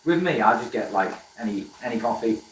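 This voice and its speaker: A man's voice: mid-range voice, animated, a little fast, roomy sound, distant mic, slight background noise, low-quality recording. A man with a South Welsh accent chatting casually while he works, greeting viewers by name with plenty of fillers and a friendly manner. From Wales. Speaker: woodturning livestream host. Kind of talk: casual live chat while working. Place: workshop with a running lathe.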